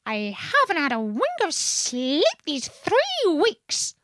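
A woman's voice: very high voice, huge pitch swings, takes it slowly, a bit bright, dry recording, voice pushed up, heavily acted. A woman voicing an agitated, suspicious female pigeon from a storybook, indignant and full of complaint. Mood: weary grumbling. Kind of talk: storybook character reading.

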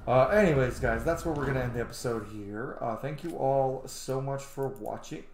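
A man's voice: medium pitch, moderate pace, a little mellow. A man in his thirties talks casually as host of a gaming video. His voice has a roomy echo, with faint noise behind it. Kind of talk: casual let's play commentary. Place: small room indoors at home.

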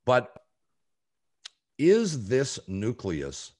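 A man in his sixties hosting a sports talk show, speaking in a deliberate, halting way over a video call. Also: mid-range voice, huge pitch swings, darkish tone. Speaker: podcast host. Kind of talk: sports talk, moderating a panel. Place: home office, video call.

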